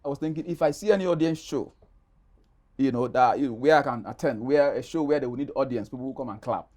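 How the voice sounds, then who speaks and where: A man's voice: mid-range voice, fast talker, darkish tone. Male interview guest, middle-aged, Nigerian accent, emphatic conversational storyteller. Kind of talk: animated personal storytelling in conversation.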